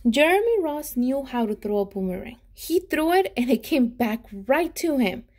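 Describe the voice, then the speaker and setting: A woman reading a storybook aloud to children in an expressive, animated way, pausing to put questions to them. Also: high voice. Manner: animated, with dramatic gasps and emphasis.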